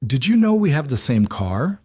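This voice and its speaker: A man voicing a calm, secretive man who talks in a low-key, dry way and asks probing questions. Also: moderate pace, breathy edge, no room sound.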